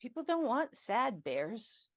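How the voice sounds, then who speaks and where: A woman in an improv scene playing a blunt toy bear that grandparents buy, giving advice in sudden loud bursts. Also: on the high side, very animated, takes it slowly, full character voice. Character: scratchy old-fashioned bear toy, blunt.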